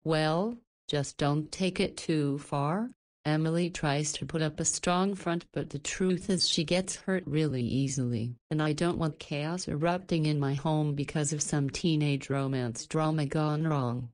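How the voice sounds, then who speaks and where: A woman acts out the motherly head of a halfway house in a visual novel. Her voice is notably low. She chats and teases with a playful, scolding manner. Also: measured pace, a little mellow. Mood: teasing, motherly.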